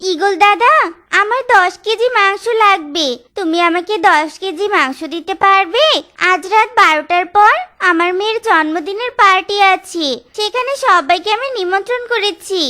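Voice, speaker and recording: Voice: very high voice, normal speed. An actor voicing a busy peacock parent in a children's cartoon, a firm-minded customer with an insistent manner. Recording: loud music bed behind.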